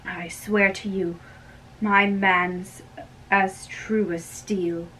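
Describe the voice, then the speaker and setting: A woman playing a slow, earnest lovesick young man. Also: medium pitch, flat delivery, a bit bright, a little hiss or hum, pitched below the performer's own voice, rough recording. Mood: sincere. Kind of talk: amateur dramatic reading of a play. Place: small bedroom.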